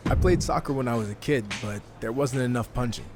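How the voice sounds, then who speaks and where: A man's voice: mid-range voice, brisk, over music, natural voice. A man playing a confident, wry American fighter turned rookie wrestler in a video game cutscene, with a dry manner. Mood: earnest, confident. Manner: self-assured, dry.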